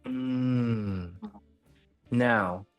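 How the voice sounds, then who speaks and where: A man from London, an acting coach being interviewed, thoughtful and quick to laugh. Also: medium pitch, no room sound, soft background music. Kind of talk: casual interview.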